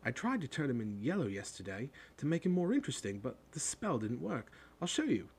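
Man as young schoolboy, blunt, chatty, casual, animated pitch, husky edge, storybook character. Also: a little fast, exaggerated voice. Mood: grumbling. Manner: matter-of-fact, chatty.

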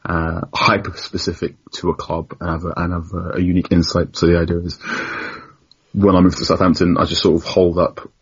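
A young man with a deep, husky voice tells stories as a podcast guest. He talks animatedly, laughs often and acts out what people said. The sound is dull and lo-fi. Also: flat delivery, fairly quick. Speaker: guest journalist. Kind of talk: casual podcast conversation.

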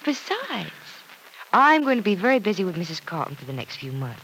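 A woman's voice: mid-range voice, sing-song, brisk, fairly bright tone. An actress playing a cheerful, reassuring young working woman in an old-time radio soap opera. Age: young adult. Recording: noisy old radio recording.

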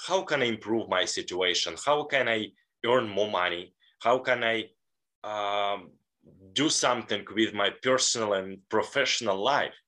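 A man, answering interview questions in an earnest, measured way. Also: lowish voice, pushed and bright. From Russia. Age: 30s. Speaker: guest.

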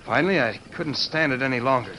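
Bright-voiced, earnest young western man. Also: mid-range voice, lively intonation, fast talker. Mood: bitter, determined. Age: 20s. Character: earnest, bitter young man in his twenties. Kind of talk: old-time radio western acting. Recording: noisy old radio recording.

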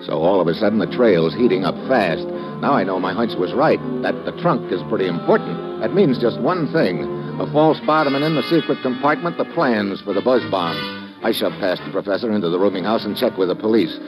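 An actor playing an impatient, hard-boiled private detective in old-time radio style, clipped, slangy and wisecracking. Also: male voice, lowish voice, animated, brisk, music in the background, close to an everyday voice. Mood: terse, intent. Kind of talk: old-time radio detective acting with first-person narration. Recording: dull vintage radio recording.

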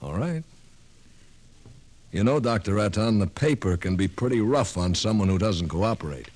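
An actor playing a pushy young newspaper reporter in an old radio drama, talking in a brash, persuasive way. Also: male voice, lowish voice, expressive, moderate pace, a little mellow. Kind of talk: 1950s radio drama acting.

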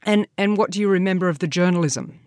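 An interviewer asking measured, concise questions. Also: mid-range voice, darkish tone, dry recording.

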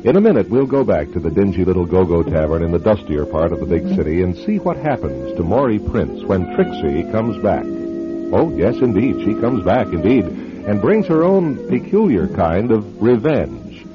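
A man narrates as host of a radio mystery, theatrical and wry. His voice is fairly low and moves widely in pitch. Music plays clearly behind him on a slightly muffled recording. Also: sing-song, moderate pace. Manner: wry, suspenseful storyteller. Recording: dull lo-fi broadcast with music behind.

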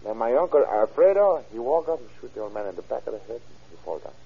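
A man playing a frightened young Mexican murder suspect in an old radio crime drama, speaking halting English with a Mexican Spanish accent. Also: medium pitch, sing-song, vocal fry, husky, exaggerated voice. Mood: resigned, confessing. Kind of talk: 1930s radio acting. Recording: muffled old radio recording.

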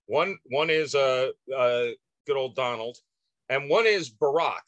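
A man's voice: medium pitch, a little slow, a bit bright, dry recording, highs cut off. Hesitant man hosting, dry close sound. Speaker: podcast host. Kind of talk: casual chat.

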